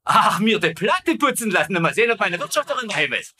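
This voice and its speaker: An actor playing a vain, fawning German camp commandant, fussy and stammering, with a husky strained voice in sitcom style. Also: male voice, sits high, big pitch swings, fairly quick, bright, forceful tone, exaggerated voice. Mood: fawning, flustered. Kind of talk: dubbed TV sitcom acting.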